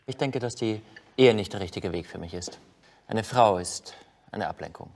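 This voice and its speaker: An actor playing an earnest, stubborn young crown prince in a historical docudrama, speaking in a solemn, determined way. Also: male voice, on the low side, normal speed, gravelly, a little mellow. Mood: firm, resistant.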